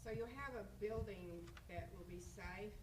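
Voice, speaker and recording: A woman's voice: medium pitch, unhurried, noisy background. Chatty woman at a meeting. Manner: chatty, folksy, repeats herself. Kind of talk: informal presentation at a public meeting. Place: city council meeting room.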